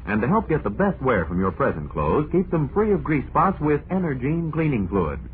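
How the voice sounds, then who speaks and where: Animated man reading vintage radio ad. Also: mid-range voice, normal speed. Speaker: commercial announcer. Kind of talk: 1940s radio advertisement. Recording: tinny, noisy old radio broadcast.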